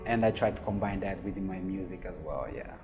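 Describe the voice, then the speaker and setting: A man giving a laid-back, halting self-introduction. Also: deep voice. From Cape Verde. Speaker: finance professional and musician. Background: music.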